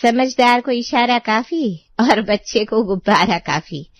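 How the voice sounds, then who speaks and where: A wry older auntie voiced by a woman in a bedtime story, slightly high in pitch and very dry in sound. She teases with pointed, sharp-tongued remarks. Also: animated, measured pace, no room sound.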